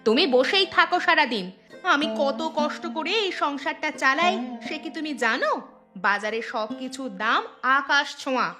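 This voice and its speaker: A woman plays a sharp-tongued cartoon daughter-in-law who nags and scolds. Her voice is high, bright and piercing, full of sarcasm, with faint music underneath. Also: expressive, moderate pace. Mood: nagging. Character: shrewish, scolding daughter-in-law running a poor household. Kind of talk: Bengali cartoon voice acting.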